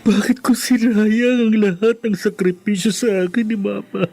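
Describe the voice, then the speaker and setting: An actor with a Filipino accent playing an ashamed imprisoned husband in a radio drama, halting and pleading. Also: male voice, high-pitched, steady tone, gravelly, darkish tone. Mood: tearful remorse. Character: remorseful imprisoned husband and father, broken and ashamed.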